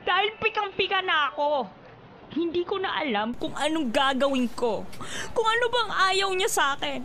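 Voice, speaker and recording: A Filipino actress playing a frustrated, volatile and jealous wife in a melodramatic radio drama. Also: female voice, sits high, big pitch swings, moderate pace, fairly bright tone, slight background noise. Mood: desperate. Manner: emotional, melodramatic outbursts. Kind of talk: Philippine radio drama acting. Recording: radio drama with music underneath.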